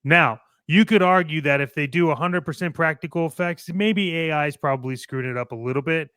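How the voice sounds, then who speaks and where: A man's voice: on the high side, brisk, sharp tone, clear, resonant tone, no room sound, studio quality. A man co-hosting a show, giving casual opinions in a blunt, emphatic way with some swearing. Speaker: co-host of a toy collecting show.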